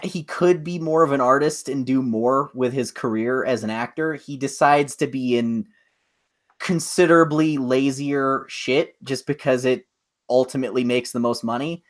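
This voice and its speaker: A man co-hosting a podcast in casual chat, ranting loosely with swearing and plenty of filler words. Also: medium pitch. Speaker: podcast co-host.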